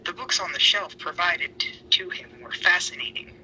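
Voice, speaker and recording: A woman's voice: fairly low pitch, normal speed, pushed and bright. A woman reading a story aloud in an amateur dramatic style, with sweary, self-mocking asides. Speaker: fan fiction reader. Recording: noisy home recording.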